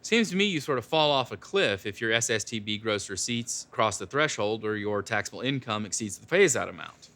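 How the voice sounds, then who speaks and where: A man's voice: fairly low pitch, lively intonation, a little fast, hardly any pauses, sharp tone. A man playing a hard-boiled private detective who talks in clipped noir patter. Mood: probing. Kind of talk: parody noir audio drama.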